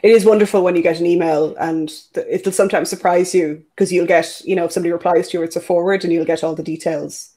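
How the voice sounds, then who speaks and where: A host asking questions in a casual interview, pausing often with "uh" and "you know". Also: mid-range voice, fairly even intonation, dark tone. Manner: hesitant, many "uh" and "you know" fillers.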